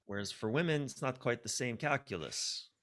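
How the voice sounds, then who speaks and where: A man speaking as a coach and guest in a podcast conversation, explaining ideas reflectively and haltingly, repeating key words for emphasis. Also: medium pitch, lively intonation, fairly bright tone.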